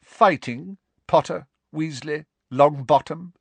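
A man's voice: a little high, takes it slowly, gravelly, no room sound, voice pushed up, exaggerated voice. Man acting a cold, sneering schoolmaster. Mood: cold, stern. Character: cold, sneering adult male schoolteacher, menacing and strict.

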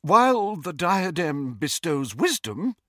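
A man's voice: medium pitch, big pitch swings, takes it slowly, breathy edge, no room sound, clean studio sound, pitched above the performer's own voice, heavily acted. A man voicing the proud, stiff ghost of a young noblewoman in a storybook, guarded and disdainful. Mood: stiff, composed.

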